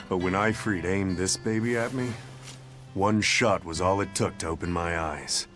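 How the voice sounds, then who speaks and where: A cocky, brawling spirit. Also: male voice, low-pitched. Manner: rough, swaggering, blunt. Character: brash male wind spirit, cocky brawler.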